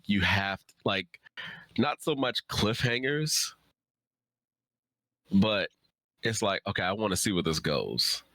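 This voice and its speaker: Man, thirties, deep pitch, close dry sound, casual livestream chat, informal author. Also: fairly bright tone, no room sound. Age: 30s.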